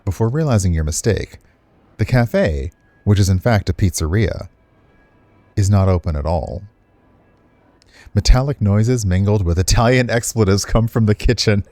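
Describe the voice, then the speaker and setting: A man with a low, mellow voice reads out a visual novel. His narration is expressive and moves freely in pitch, mixed with casual streamer commentary. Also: moderate pace, long pauses, no room sound. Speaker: game streamer.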